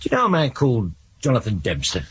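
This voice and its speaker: A man playing a dogged, dry detective inspector in a radio drama, with an English accent and a formal, deadpan manner. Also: mid-range voice, fast talker, husky, heavily acted. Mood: probing. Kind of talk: 1970s radio drama acting. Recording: dull lo-fi 1970s radio recording.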